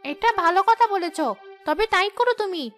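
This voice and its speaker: A woman's voice: very high-pitched, moderate pace, a bit bright, soft background music. A woman voicing a greedy, sly, snappish female ghost in a Bengali cartoon story. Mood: scolding. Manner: snappish, irritable, scolding.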